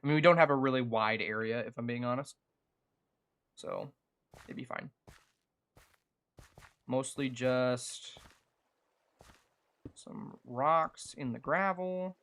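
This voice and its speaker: A man's voice: mid-range voice, a bit bright, clean tone. Casual young man chatting while gaming. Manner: rambling, halting self-talk, earnest. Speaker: gaming streamer and video creator. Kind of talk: casual chat over live gameplay.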